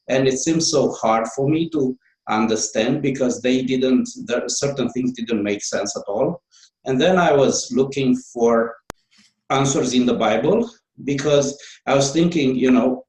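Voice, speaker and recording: A man's voice: medium pitch, a little mellow, roomy sound, poor audio. A man with a Romanian accent, a guest author answering interview questions in a hesitant way, with many fillers and restarts.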